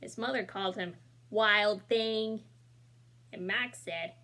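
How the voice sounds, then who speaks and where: A woman reading a children's picture book aloud, gently talking to young listeners between pages and voicing the characters with expression. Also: mid-range voice, slow. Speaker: storybook reader. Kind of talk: children's read-aloud with asides.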